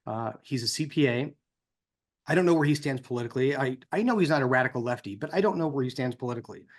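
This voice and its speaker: An American man giving an informal, conversational speech as a co-founder, in the manner of someone telling personal anecdotes. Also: medium pitch. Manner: conversational, acts out dialogue in anecdotes.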